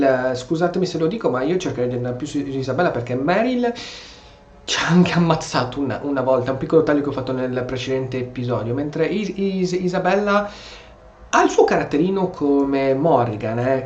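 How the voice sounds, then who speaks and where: A man with an Italian accent giving casual role-play gameplay commentary, halting and correcting himself as he goes. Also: fairly high pitch. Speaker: gameplay streamer. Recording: dull, roomy mic over game music. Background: game music.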